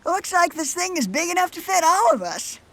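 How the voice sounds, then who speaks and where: Woman as a high-voiced, pedantic cartoon kid. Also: very animated, a little fast, gravelly, a bit bright, heavily acted. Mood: pedantic, earnest. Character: nerdy, precise know-it-all child in a cartoon parody. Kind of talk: fan-dub parody cartoon acting.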